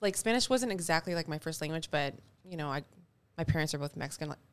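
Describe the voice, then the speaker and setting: A young woman chats as a podcast co-host, stringing her thoughts together with plenty of fillers. Her voice sits a little low and sounds smooth and clear. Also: lively intonation, fast. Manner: chatty, lots of likes and fillers. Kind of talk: casual podcast chat.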